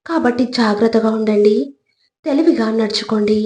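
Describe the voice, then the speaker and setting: A woman reading scripted motivational narration in a deliberate, instructive manner, in short measured phrases. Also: a little high, warm tone. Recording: echoey, reverberant voice track.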